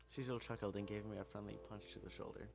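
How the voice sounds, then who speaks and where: A man's voice: on the low side, fairly even intonation, fast talker, vocal fry. A man reading first-person visual novel narration aloud. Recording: loud music behind voice. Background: music.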